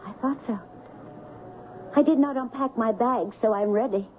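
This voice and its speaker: Radio drama heroine female actor: romantic, determined young princess, highish pitch, intense and pleading, narrow old broadcast sound. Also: moderate pace, a little hiss or hum, natural voice. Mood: pleading, loving. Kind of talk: melodramatic radio drama acting. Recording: muffled vintage radio broadcast, music behind.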